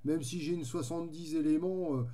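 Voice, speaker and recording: A man's voice: on the high side, a little fast, dark tone. A man chatting casually as a radio hobbyist, easygoing and rambling in his way of talking. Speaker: amateur radio operator.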